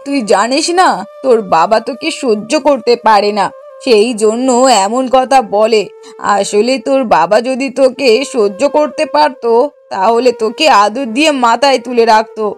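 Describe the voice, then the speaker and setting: A high-pitched middle-aged mother from a moral-story cartoon, played by a woman. She is bossy and sharp-tongued, always scheming. She scolds and argues as if nobody else may have a say. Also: moderate pace, soft background music, natural voice. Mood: conniving, coaxing.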